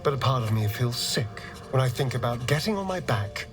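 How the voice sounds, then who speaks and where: An actor playing a vain, wounded male vampire rogue in a video game, with an arch, theatrical, halting delivery. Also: medium pitch, lively intonation, music in the background, lightly acted. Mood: bitter, arch.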